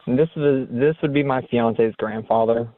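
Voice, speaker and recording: A man's voice: medium pitch, unhurried, slight background noise. An American man on a phone call with police, speaking in a flustered, defensive manner. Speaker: caller being questioned by police. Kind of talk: phone conversation. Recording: tinny lo-fi recorded phone call.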